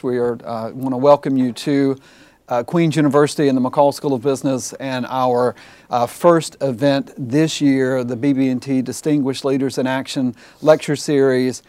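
A man's voice: mid-range voice, no echo. Guy hosting a campus lecture, easygoing, lots of uhs, dry sound. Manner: relaxed, many "uh" pauses, agreeable. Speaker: university host and moderator. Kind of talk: introduction and on-stage interview. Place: university lecture hall with audience.

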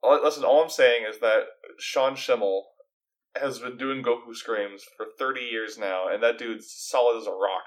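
A man's voice: mid-range voice, steady tone, normal speed, poor audio. A man co-hosting a podcast in casual, opinionated chat, with an emphatic, critical and wry manner. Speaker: podcast co-host.

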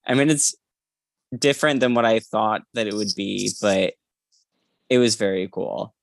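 A podcast co-host with a mid-pitched, gravelly voice talks casually about books. The delivery is emphatic, with phrases repeated for weight, and the sound is close and dry. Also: unhurried, dry recording. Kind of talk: casual book discussion.

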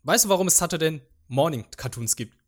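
A man's voice: on the high side, expressive, quick pace. Man reminiscing casually, native Vietnamese speaker. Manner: meandering, hesitant recollection. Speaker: podcast co-host. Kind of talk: casual chat.

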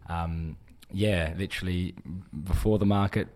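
A man's voice: low-pitched, steady tone, unhurried, darkish tone. A man who manages a market and event venue, chatting in a casual interview with lots of fillers and restarts.

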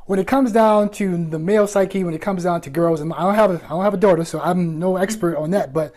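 A man's voice: high-pitched, fairly quick, darkish tone. A man in a casual group chat, giving his opinions in colloquial speech.

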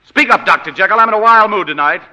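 An actor playing a hard-boiled private detective with dry, sardonic wisecracks, in 1940s radio drama. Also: male voice, high-pitched, fast talker, sharp tone. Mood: wry, tough. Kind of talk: 1940s radio drama with first-person narration. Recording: muffled 1940s radio broadcast with long reverb.

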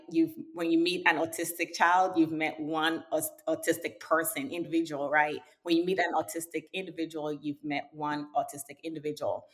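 A woman from Ghana hosting a podcast interview, speaking earnestly and appreciatively. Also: sits low. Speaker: podcast host.

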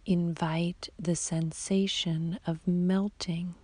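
Very slow, soft breathy woman guiding meditation. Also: lowish voice, level tone, no echo. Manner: soothing, unhurried, with long pauses. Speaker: yoga nidra meditation guide. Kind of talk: slow guided sleep meditation.

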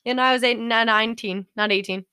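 A woman's voice: fairly high pitch, fairly even intonation, fast, pushed and bright, clear, resonant tone, no echo, studio quality. A young woman co-hosting a podcast, telling casual comedic stories in a giggly, sweary, rambling way with frequent self-corrections. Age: young adult. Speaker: podcast co-host. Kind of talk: casual comedic storytelling chat.

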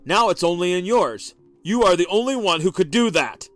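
A man voicing a suspicious, volatile husband who talks in a forceful, accusing way. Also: a little high, lively intonation, brisk, no echo, faint music behind.

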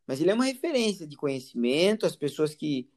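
A man's voice: fairly high pitch, big pitch swings, fast talker, a little mellow. Rambling Brazilian male interviewer. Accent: Brazilian Portuguese. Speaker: interviewer, psychologist and English teacher. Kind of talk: conversational interviewing.

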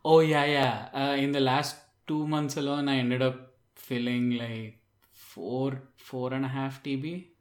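A man's voice: medium pitch, little pitch movement, unhurried. A man, recorded with a roomy echo. He chats casually about tech as a podcast co-host. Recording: roomy, echoey sound.

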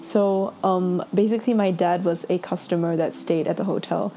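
A woman's voice: on the low side, fairly even intonation, normal speed, mellow. A young woman who grew up between Singapore and China, co-hosting a casual podcast, giggly and telling stories with fillers. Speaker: podcast co-host. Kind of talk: casual chat. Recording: faint laptop fan noise.

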